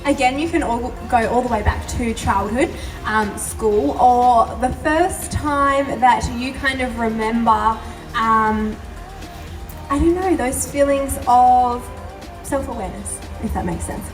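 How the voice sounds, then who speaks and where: A woman hosting an event, asking a guest questions in a gentle, hesitant way. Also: on the high side, slow pace. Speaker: host, interviewer. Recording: roomy, far from the mic, faint music.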